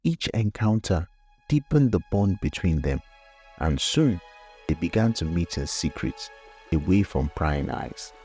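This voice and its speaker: A man's voice: low-pitched, big pitch swings, a little slow, warm tone, husky, soft background music. A man narrating a scripted folktale as the storyteller.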